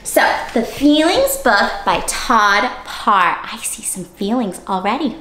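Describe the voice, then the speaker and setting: Female story-time host, very lively pitch swings, unhurried pace, playful and child-directed, room echo. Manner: animated, exaggerated child-directed delivery with gasps and playful questions. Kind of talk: interactive children's read-aloud.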